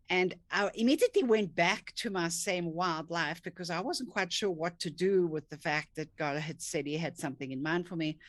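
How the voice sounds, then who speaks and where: A woman's voice: lowish voice, no room sound. A woman, a coach telling her story earnestly in an interview over a video call. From South Africa. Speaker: guest, thinking coach. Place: home office, indoors. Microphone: video call webcam.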